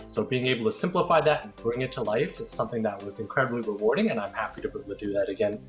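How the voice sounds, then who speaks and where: A man's voice: lowish voice, very fast, soft background music. A man who works as an esports caster, answering interview questions in a casual, conversational way.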